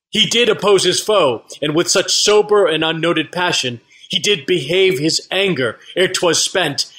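An earnest captain from a Shakespeare play, voiced by a man, making formal, rhetorical speeches. His voice is forceful and somewhat high. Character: earnest, pleading male Athenian captain or petitioner. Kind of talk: unrehearsed Shakespeare play reading.

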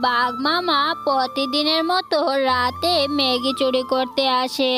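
A woman's voice: high voice, a little slow. A woman storyteller reading scripted narration for a children's cartoon. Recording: loud music under voice.

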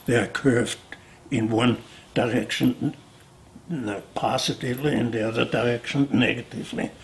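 An older man from Austria, a sculptor answering interview questions in a slow, deliberate way with pauses and restarts, seated indoors. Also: medium pitch, steady tone, vocal fry, a little hiss or hum. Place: indoor studio room.

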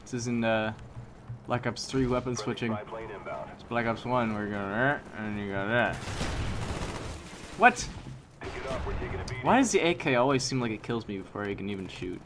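A man giving live commentary on his shooter gameplay, chatty and talking to himself, sometimes yelling at the game, his voice getting strained. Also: medium pitch, lively intonation, moderate pace, long pauses, a crowd in the background. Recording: home mic under loud game gunfire.

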